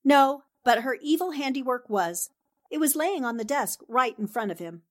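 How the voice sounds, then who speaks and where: A woman playing a sharp, confident newspaperwoman in a 1940s-style radio drama. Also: sits high, expressive, moderate pace. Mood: confident, explanatory. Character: sharp, confident newspaperwoman explaining a murder plot. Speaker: voice actor in an amateur radio drama.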